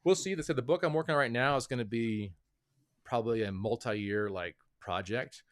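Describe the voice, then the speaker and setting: A man's voice: mid-range voice, moderate pace. A man as a podcast guest, explaining his views in a hedging, thoughtful way with many fillers. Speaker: author and guest. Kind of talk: casual interview talk.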